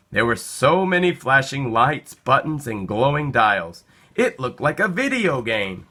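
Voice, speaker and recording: A man reads a children's picture book aloud. His voice is bright and forward, and he takes his time, shaping the story with lively, expressive swings of pitch. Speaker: storybook reader.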